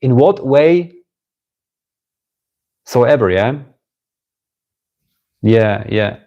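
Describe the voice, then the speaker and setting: A man with a German accent giving a live-streamed spiritual talk, musing slowly, repeating phrases and greeting viewers as they join. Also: mid-range voice, expressive, mellow, dull audio. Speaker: spiritual teacher.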